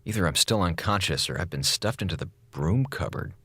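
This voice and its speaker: An actor playing an excitable, sarcastic comms officer on a space station in an audio drama, rambling and melodramatic. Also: male voice, deep voice, fairly quick, slightly rough, no echo, exaggerated voice. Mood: weary, rueful. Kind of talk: modern audio drama in Golden Age radio style.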